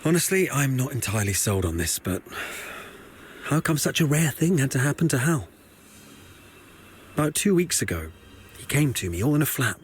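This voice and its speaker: An actor playing a nervy, talkative foot soldier in a video game, confiding and evasive in a conversational way. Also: male voice, mid-range voice, very animated, moderate pace, long pauses, a little hiss or hum, natural voice. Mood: confiding, somber. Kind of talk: video game character dialogue.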